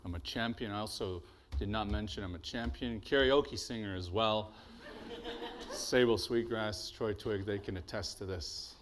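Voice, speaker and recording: A man with a deep, bassy voice giving a relaxed, joking symposium talk as an artist, speaking into a microphone in a lecture hall. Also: moderate pace, slight background noise. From Blackfoot territory, Alberta. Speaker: artist and presenter. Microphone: handheld or podium microphone.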